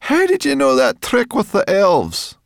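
A man voicing a bossy, sharp, impatient furry female creature in a storybook, needling and exclaiming. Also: deep voice, unhurried, no echo, studio quality. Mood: anxious, calling.

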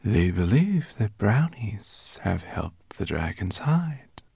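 A man voicing a courteous, ominous female sea serpent in an audiobook, with a slow, hissing, whispery delivery. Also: fairly low pitch, sing-song, vocal fry, darkish tone, husky, no room sound, pitched above the performer's own voice, full character voice. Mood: mysterious. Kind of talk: audiobook character acting.

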